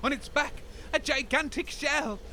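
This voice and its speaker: Man acting as scheming grumbly radio DJ, British accent, very high pitch, grandiose, comedy audio drama, faint background noise. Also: measured pace, pushed and bright, exaggerated voice. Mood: indignant. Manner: theatrical, stammering when evasive, grandiose. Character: grumbling, scheming, pompous male wasteland radio DJ.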